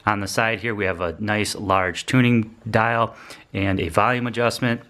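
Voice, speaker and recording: Male product reviewer, slightly deep voice, informal and plainspoken, walking listeners through features casually. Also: steady tone, moderate pace, sharp tone.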